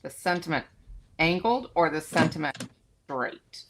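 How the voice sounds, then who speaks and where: A woman's voice: sits low, lively intonation, a little slow, background noise. A woman with a Southern US accent co-hosting a livestream, chatting casually and thinking aloud as she crafts, easily amused. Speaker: co-host.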